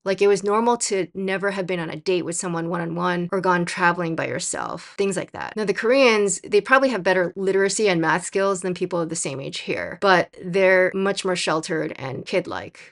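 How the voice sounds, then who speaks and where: A woman's voice: fairly low pitch, creaky. A woman from the United States, a young adult, delivering a scripted commentary video in an opinionated, lightly sarcastic manner. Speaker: video essayist.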